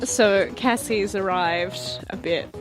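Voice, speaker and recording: A woman's voice: medium pitch, slow pace. A woman casually narrating her character in a tabletop roleplaying game, informal and sweary. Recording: music bed under the voice.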